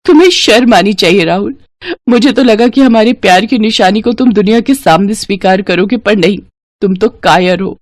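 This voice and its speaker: A woman's voice: medium pitch, moderate pace, lightly acted. A woman voicing a headstrong, lovestruck schoolgirl in a dramatized story, speaking in an emphatic, earnest way. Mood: hurt, angry. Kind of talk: dramatized Hindi moral story acting.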